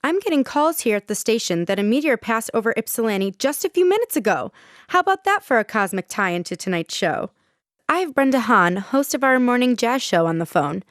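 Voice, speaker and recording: Woman performing a female radio host, upbeat, animated delivery, dry studio sound, radio play. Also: a little high, brisk, no echo. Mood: intrigued, chatty. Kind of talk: radio drama in live broadcast style.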